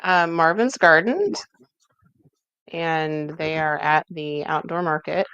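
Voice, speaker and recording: A woman's voice: deep voice, slow pace, fairly bright tone, clear, resonant tone, no echo, lo-fi sound. A woman hosting a cooking show in a home kitchen, explaining steps in a folksy, chatty way as she works. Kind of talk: casual cooking demonstration.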